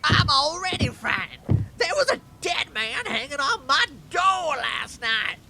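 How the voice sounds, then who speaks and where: A woman playing a frightened, excitable innkeeper in an audio drama. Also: sits high, a little slow, vocal fry, shouting, background noise, exaggerated voice. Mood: frightened, shrill. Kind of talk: fan audio drama acting.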